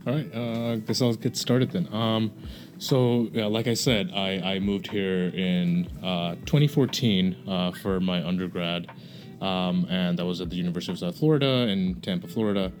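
A man's voice: sits low, moderate pace, a little mellow. A young man from Bangladesh, a podcast guest, explaining things casually with many hesitations and fillers.

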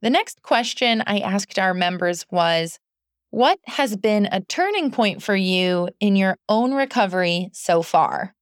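A woman's voice: mid-range voice, lively intonation, a little slow, no room sound, studio quality. A woman hosting a podcast, reading scripted narration in an encouraging, enthusiastic way. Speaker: podcast host.

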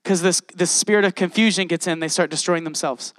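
High-voiced man preaching, dry sound. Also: fairly even intonation, fairly quick, fairly bright tone, no echo. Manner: passionate, addresses congregation directly. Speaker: pastor. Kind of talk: sermon with Bible reading.

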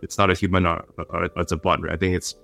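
A man's voice: low voice, flat delivery, fast talker, vocal fry, pushed and bright. A man speaking as a panelist in a panel discussion, hesitant, with many fillers and repeated words.

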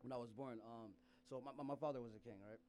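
A man's voice: medium pitch, steady tone, fast, mellow, a little hiss or hum. A man from South Sudan telling a rambling personal story in casual chat, laughing often and restarting his sentences.

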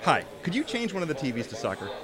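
A man's voice: mid-range voice, huge pitch swings, quick pace, crowd noise. A man playing an easygoing, opinionated young soccer fan in an audio drama, talking casually.

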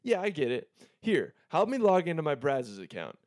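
A man's voice: on the high side, big pitch swings, brisk, darkish tone, no echo, clean studio sound. A man playing a pampered, cocky, crude young rich student in a comedy cartoon, with a brash, bossy, slangy way of talking. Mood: bossy, dismissive.

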